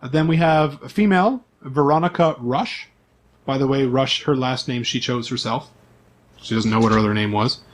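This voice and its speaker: A man's voice: medium pitch, moderate pace. A man acting as game master, reading out character profiles in an informal, list-like way with asides.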